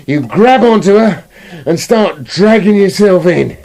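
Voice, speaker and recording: A man's voice: high-pitched, lively intonation, a little slow, gravelly, mellow, exaggerated voice. A British man playing a sardonic, bossy wolf in a comic radio play, grumbling and sarcastic. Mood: bossy, instructing. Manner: sarcastic, exasperated, sweary.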